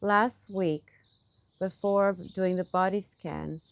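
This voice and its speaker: A woman leading a guided meditation as a teacher, with gentle, pausing phrasing, speaking outdoors. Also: mid-range voice, fairly even intonation, creaky. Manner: unhurried, gentle phrasing with pauses. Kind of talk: guided meditation, softly instructive and partly improvised. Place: outdoors in a park. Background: birdsong.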